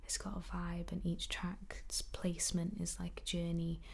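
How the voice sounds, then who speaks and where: A young woman whispering in a soft-spoken ASMR chat, slightly croaky while getting over an illness, with frequent ums. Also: on the low side, completely flat delivery, measured pace. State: recovering from illness, at times croaky. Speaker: ASMR creator.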